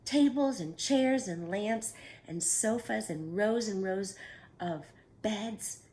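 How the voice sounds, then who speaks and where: A woman reading a children's picture book aloud at storytime, animated and pausing to ask her young listeners questions. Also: mid-range voice.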